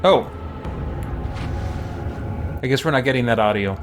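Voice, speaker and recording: A man doing casual, halting game commentary, thinking aloud as he roleplays for a stream. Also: mid-range voice, over loud music. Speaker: gaming streamer.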